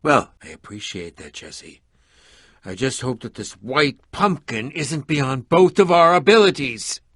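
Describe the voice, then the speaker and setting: A man's voice: medium pitch, animated, measured pace, a bit bright, dry recording, studio quality. A man voicing a pompous, irritable, middle-aged eccentric adventurer and potion maker in a video game, with a dramatic, sardonic, fussy delivery. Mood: wary, uneasy. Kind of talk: animated video game voice acting.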